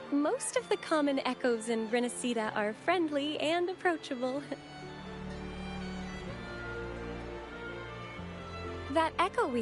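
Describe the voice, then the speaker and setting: Very high-voiced, gentle young devotee. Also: female voice. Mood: friendly, cheerful. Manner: courteous, formal, explanatory. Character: gentle, devout, polite young woman of a holy Order. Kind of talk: video game voice acting. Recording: game dialogue over clear background music.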